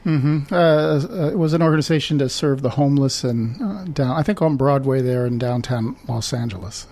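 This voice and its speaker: A man's voice: mid-range voice, normal speed, a little mellow. A middle-aged man from Guatemala, a physician guest in an interview, speaking in a hesitant way with many fillers and restarts. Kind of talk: conversational interview.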